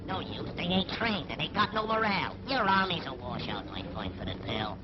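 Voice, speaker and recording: An actor playing a gruff, wisecracking little fairy army sergeant with slangy, streetwise rhymes in a 1940s wartime cartoon. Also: male voice, on the high side, expressive, normal speed, natural voice. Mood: scolding, sardonic. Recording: dull 1940s film soundtrack, loud music.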